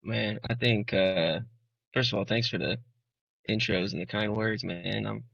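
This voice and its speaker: A man from Arizona, a musician guest, answering interview questions in a halting, emotional way with many fillers. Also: on the low side, fairly even intonation, gravelly, fairly bright tone, no room sound. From Arizona, United States. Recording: dull, muffled sound.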